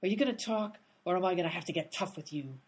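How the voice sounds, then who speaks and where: A woman's voice: on the low side, quick pace, breathy edge. A woman playing an exasperated, blunt, tough-talking detective in a comedic radio play. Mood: tough, demanding.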